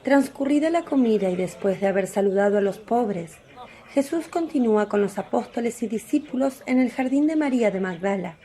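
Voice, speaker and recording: A woman's voice: a little high, animated, a little fast, dark tone. A woman narrating a religious radio drama in a composed, scripted storytelling style.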